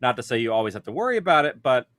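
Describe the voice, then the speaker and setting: A man's voice: medium pitch, big pitch swings, rapid-fire, a bit bright. A man speaking informally on a panel, explaining practical details of production in a conversational way. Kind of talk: conference panel talk.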